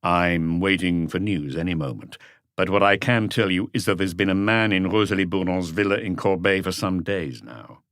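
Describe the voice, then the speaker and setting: Male reader playing a seasoned policeman, low pitch, measured, factual, serious, crime audiobook. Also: a bit bright, dry recording, clean studio sound. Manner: measured, matter-of-fact. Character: composed, seasoned male police detective chief. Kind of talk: audiobook character voice.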